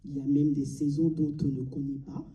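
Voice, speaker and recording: A woman from Mali delivering a solemn, deliberate theatrical monologue, pausing between short phrases. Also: low voice, little pitch movement, mellow, highs cut off. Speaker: amateur stage performer. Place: large cinema auditorium before an audience. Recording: echoey hall, distant mic.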